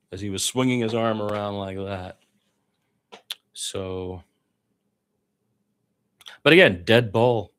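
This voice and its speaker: Low-voiced man calling basketball informally. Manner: blunt, conversational, opinionated. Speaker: play-by-play sports commentator. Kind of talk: informal radio-style basketball play-by-play with opinionated asides.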